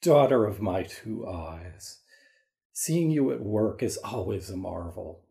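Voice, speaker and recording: A man playing a solemn, caring divine king of ancient Egypt in an audio drama, speaking in a measured, formal, regal way. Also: lowish voice, animated, vocal fry, dark tone, heavily acted. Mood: warm, formal. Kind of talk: dramatised audio story reading.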